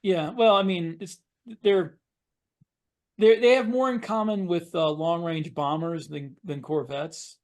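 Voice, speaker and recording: Slightly higher-voiced man, halting podcast talker. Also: fairly even intonation. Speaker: podcast panelist, science fiction author. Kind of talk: casual podcast discussion.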